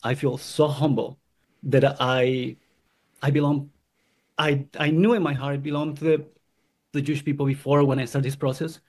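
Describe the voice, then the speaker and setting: Gravelly guy from guatemala, earnest, keeps restarting his sentences. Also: medium pitch, little pitch movement, normal speed, a little mellow. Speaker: convert sharing his story. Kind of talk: personal testimony.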